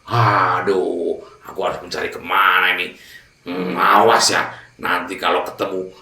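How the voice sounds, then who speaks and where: A man's voice: deep voice, level tone, measured pace, bright, forceful tone, roomy sound, distant mic, heavily acted. A man voicing a hungry, gullible, angry tiger in a children's fable, blustering and threatening. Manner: blustering, threatening, easily fooled.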